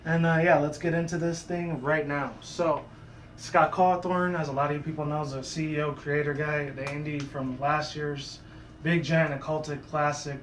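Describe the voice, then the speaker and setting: A man with a sore, hoarse voice, talking in a casual, rambling commentary vlog full of asides and restarts. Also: a little high, little pitch movement, normal speed, a bit bright, echoey room, a little hiss or hum, poor audio. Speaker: YouTuber.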